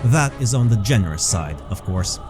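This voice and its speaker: A man narrating a scripted fantasy story as a measured storyteller. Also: lowish voice, animated. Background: background music.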